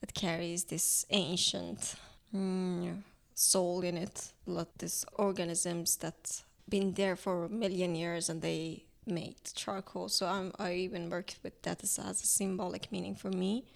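A young woman with an Iranian accent, whose first language is Turkish, talks slowly through her ideas in an interview. Her voice has a gravelly fry at the ends of phrases. She often stops and restarts while searching for the right English word. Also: on the low side, level tone. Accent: Iranian, speaking English as a later language. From Iran. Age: young adult. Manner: thoughtful, halting, searching for words. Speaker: artist guest. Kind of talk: reflective interview conversation.

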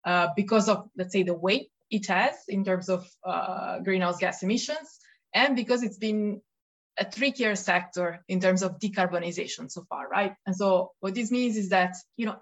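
A woman's voice: mid-range voice, highs cut off. Italian-accented woman discussing policy. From Italy. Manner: thoughtful, many fillers and restarts. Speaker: research fellow, podcast guest.

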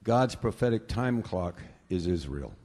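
A man's voice: deep voice, lively intonation, unhurried. Fervent man preaching in an echoing hall. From United States. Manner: preaching with emphatic hallelujahs, swinging between quiet talk and loud fervor. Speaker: pastor. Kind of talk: sermon.

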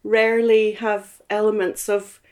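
A woman's voice: mid-range voice, little pitch movement, a little slow, darkish tone. Northern Irish woman, thoughtful and chatty. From Belfast, Northern Ireland. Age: late 40s. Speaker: interview guest. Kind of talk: casual conversational reminiscence. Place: indoors in a house.

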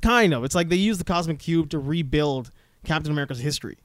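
A man's voice: a little high, animated, fast talker. A man in a casual, sweary chat about films and comics as a podcast co-host, emphatic and given to repeating phrases.

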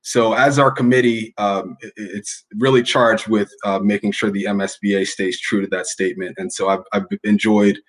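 A man's voice: lowish voice, steady tone, moderate pace, few pauses, fairly bright tone, rough recording. A man speaking as a bar committee co-chair, hesitant and heavy with fillers and restarts. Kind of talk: informal spoken remarks.

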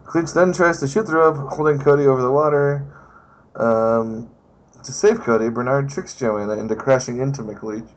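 Guy reading a movie plot out loud, swears a lot, laughs, chill mid pitch. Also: moderate pace, rough recording. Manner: swears often, laughs, riffs on what he reads. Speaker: podcast host. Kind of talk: casual chat reading a plot summary aloud.

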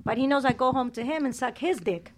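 A woman with a high voice talks crude and blunt on a talk show. She is brash and unfiltered when she tells her stories. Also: fast talker, slight background noise. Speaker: co-host. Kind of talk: raunchy casual chat.

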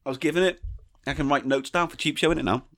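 A man's voice: a little high, fast talker, fairly bright tone. A man co-hosting a podcast in casual banter, teasing and needling his partner playfully. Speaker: podcast co-host.

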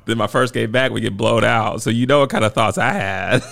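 Hoarse podcast co-host, gravelly low voice, easygoing and laughing, recorded dry and close. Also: male voice, measured pace, no room sound. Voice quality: raspy, worn voice. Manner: laughs often, relaxed and chatty. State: hoarse from coaching. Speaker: podcast co-host and youth basketball coach. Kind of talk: casual chat.

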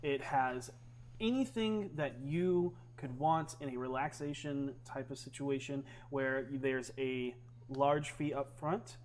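A man's voice: mid-range voice, takes it slowly, slight background noise. A man narrating as game master of a tabletop game, loose and hesitant with many fillers.

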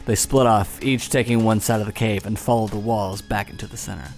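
A man runs a tabletop game, narrating scenes in a steady, descriptive way. His voice sits a bit low. Faint music plays behind him. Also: fairly quick. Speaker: game master.

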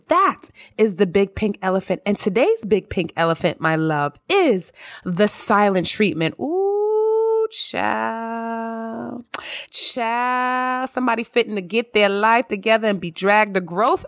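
A married woman hosting her own podcast, talking in an expressive, emphatic monologue with plenty of repetition. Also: on the high side, no room sound, clean studio sound. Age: adult, married. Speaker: podcast host. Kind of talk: casual monologue.

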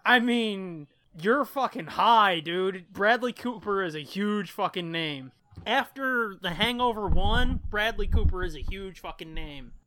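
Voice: medium pitch, expressive, a little slow, sharp tone. A podcast co-host chatting casually and swearing freely. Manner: profane. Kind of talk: casual chat.

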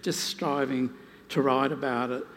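A man speaking unscripted on a live panel as a naturalist and author, telling anecdotes in an animated, self-deprecating way. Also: mid-range voice, measured pace. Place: large indoor hall at a live museum event. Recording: hall reverb, noisy live event.

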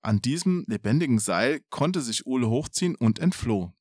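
A man's voice: medium pitch, expressive, moderate pace, darkish tone, breathy edge, no room sound, studio quality. A man reading a folk tale aloud expressively as a podcast storyteller, with casual asides.

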